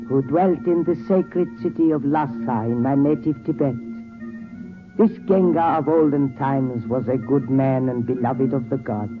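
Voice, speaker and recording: An actor in a 1940s radio drama playing a courteous Tibetan gem importer who tells tales in formal, measured, precise speech. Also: male voice, mid-range voice, close to an everyday voice. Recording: tinny 1940s radio recording.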